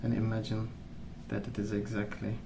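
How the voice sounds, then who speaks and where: A man speaking softly in a hushed, unhurried ASMR ramble, musing as he goes. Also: lowish voice, completely flat delivery, gravelly, roomy sound, background noise. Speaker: ASMR video host. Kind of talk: soft-spoken ASMR rambling while colouring.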